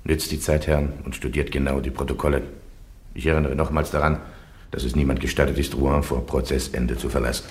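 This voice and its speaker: A man acting a stern, formal presiding church judge in a German radio play, speaking in measured proclamations. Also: a bass voice, flat delivery, a little mellow. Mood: formal, commanding. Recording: clearly noisy old radio recording with clicks.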